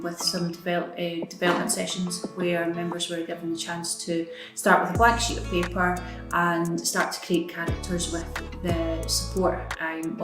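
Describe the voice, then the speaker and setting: A woman explaining a community project in a documentary interview, measured and a little hesitant. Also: on the low side. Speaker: community organisation staff member. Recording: roomy, guitar music bed. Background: guitar music.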